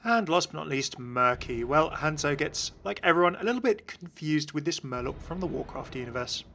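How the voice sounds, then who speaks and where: A man's voice: a little high, animated, normal speed, gravelly, pushed and bright. A man hosting a gaming video with scripted, explanatory narration. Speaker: video host.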